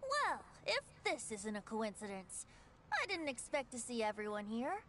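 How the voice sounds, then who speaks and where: A woman's voice: a little high, big pitch swings, normal speed, background noise. A woman voicing a breezy, mischievous young male bard with an airy, playful manner in an anime game.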